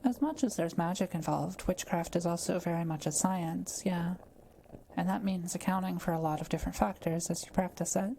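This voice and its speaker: A woman playing a kind, patient witch in an intimate audio roleplay, explaining things gently and without hurry to one listener. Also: lowish voice, fairly quick, vocal fry, slight background noise. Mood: patient, explanatory. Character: kind, quiet-living forest witch, patient and scholarly.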